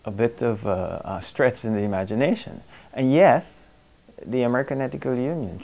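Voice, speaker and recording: A man from Guyana who answers interview questions thoughtfully, pausing often with "um" as he searches for words. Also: on the low side, darkish tone. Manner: thoughtful, halting, with many pauses and "um"s.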